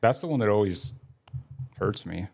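A man's voice: on the low side, animated, normal speed, vocal fry, a little mellow, breathy edge. A man in his forties, a podcast guest, telling stories casually and rambling, with lots of "like" fillers. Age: 40s. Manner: rambling, heavy use of "like" fillers. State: laid up with a broken leg. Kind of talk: casual storytelling.

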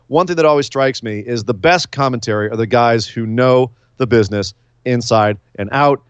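A man's voice: medium pitch, clear, resonant tone, dry recording. A middle-aged man co-hosting a casual wrestling podcast, wry and self-deprecating, talking close into a microphone. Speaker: podcast co-host. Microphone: podcast mic close to face. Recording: slightly dull, dry recording.

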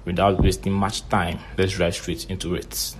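A man's voice: low-pitched, flat delivery, normal speed, breathy edge. A Ghanaian man presenting a short fact segment on a podcast, reading from a script. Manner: reads from a script.

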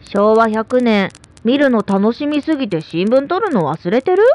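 Woman acting a high, animated female family member. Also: unhurried, background noise. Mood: perky. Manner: expressive, homely, colloquial. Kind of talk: Japanese radio drama acting.

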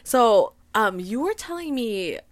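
Giggly, lively woman podcast host. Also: a little high, slow. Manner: giggly, chatty, teasing. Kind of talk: casual interview chat.

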